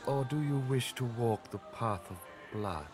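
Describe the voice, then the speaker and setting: An actor playing a solemn, devout cathedral brother in a fantasy game, speaking in a measured, formal way. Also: male voice, mid-range voice, animated, music in the background, lightly acted. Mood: pious welcome. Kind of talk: fantasy video game acting.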